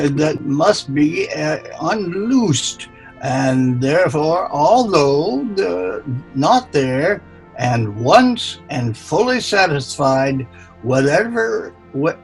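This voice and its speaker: Deferential cardinal played by a man, very slow halting verse, gravelly creak, online call with faint music. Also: fairly high pitch, full character voice, poor audio. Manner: halting, stumbling over the verse. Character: deferential, self-justifying cardinal at royal court. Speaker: amateur actor in an online play reading. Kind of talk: amateur Shakespeare read-aloud.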